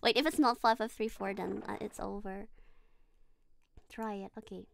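A woman's voice: medium pitch, steady tone, normal speed, gravelly, no echo. A woman streaming a horror game, chatting casually, giggling and talking to her character. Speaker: VTuber streamer. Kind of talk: casual gaming livestream chat.

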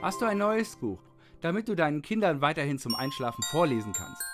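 Grateful, generous Santa for a children's story, male actor, melodic and expressive, over music. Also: a little high, brisk. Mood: warm, generous. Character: kindly, grateful Santa Claus. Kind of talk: children's audio play.

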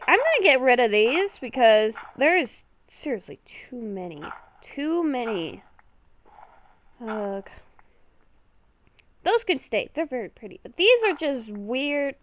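A woman giving casual gameplay commentary, talking to herself in short, halting phrases. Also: sits high, big pitch swings, muffled recording, a little hiss or hum. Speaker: gamer recording a roleplay series.

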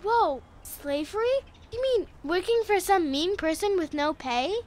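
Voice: very high voice, lively intonation, a little slow. A performer playing a curious, innocent, literal-minded little boy in a family audio drama, asking eager, naive questions. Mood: amazed.

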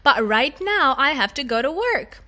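A woman voicing a gentle, patient mother tiger in a children's storybook, explaining things reassuringly to a child. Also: high voice, big pitch swings, normal speed, exaggerated voice.

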